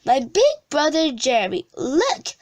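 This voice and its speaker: Excitable storybook witch, woman narrator acting, bossy yet friendly, high and very swoopy delivery. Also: takes it slowly, a little hiss or hum, heavily acted. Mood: proud, friendly.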